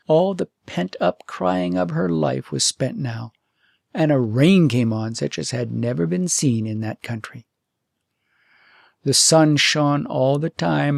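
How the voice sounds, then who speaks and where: A man narrating a fairytale audiobook in an expressive, deliberate storytelling manner. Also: fairly high pitch, creaky, dry recording, studio quality.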